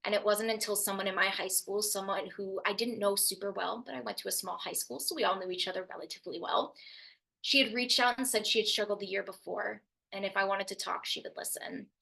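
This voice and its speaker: A young woman shares her own story as a youth mental health speaker, in an earnest and steady way. Her voice is bright and sharp, with a gravelly fry at the ends of phrases. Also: mid-range voice, fairly quick, rough recording. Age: young adult. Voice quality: loud voice. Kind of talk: personal story told to an audience.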